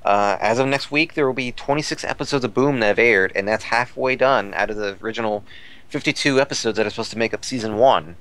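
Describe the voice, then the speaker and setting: A man's voice: medium pitch, fast, a bit bright. A man talking casually as a podcast commentator about fan news, rambling and repeating phrases as he searches for words.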